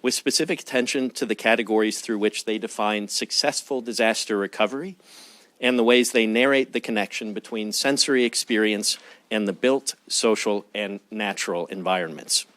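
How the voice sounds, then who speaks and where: A man hosting an event, reading a formal, measured introduction of the speaker. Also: fairly low pitch, fairly bright tone, no room sound.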